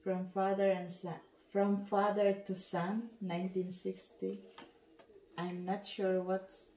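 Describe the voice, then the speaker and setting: A woman's voice: mid-range voice, level tone, takes it slowly, warm tone. A woman whose first language is Tagalog, chatting casually in broken English while unboxing perfume at home. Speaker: vlogger. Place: dining room at home. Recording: echoey room.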